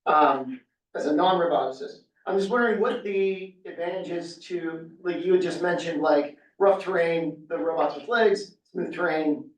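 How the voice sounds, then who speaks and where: A man in the audience asking a question in a hesitant, halting way over a shaky connection. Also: fairly high pitch. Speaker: audience member. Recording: shaky remote connection, roomy and distant.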